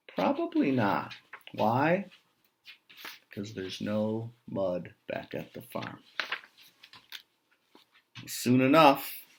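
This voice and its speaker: Slow-paced, low-voiced American man storytelling. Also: slight background noise. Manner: deliberate, with rhetorical questions. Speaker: writer reading a picture book aloud to children. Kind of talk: read-aloud storytelling with chatty asides to children.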